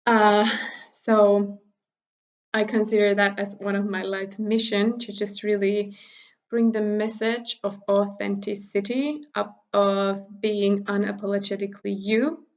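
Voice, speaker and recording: A woman from Finland talks to her viewers in English, chatty and warm-hearted, stopping for fillers and laughs. Her mid-pitched voice has a gravelly edge of vocal fry. The recording is muffled, like a simple home setup on a camera or phone mic. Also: steady tone, a little slow. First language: Finnish. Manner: chatty, laughs often, many fillers and restarts. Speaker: spiritual workshop teacher. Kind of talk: casual recorded workshop talk.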